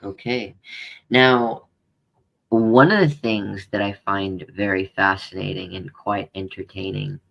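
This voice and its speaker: A man hosting an interview podcast, his voice gravelly with fry. He speaks very slowly and draws out his questions, leaning on pauses and fillers. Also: deep voice, fairly even intonation, dull audio. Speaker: podcast host.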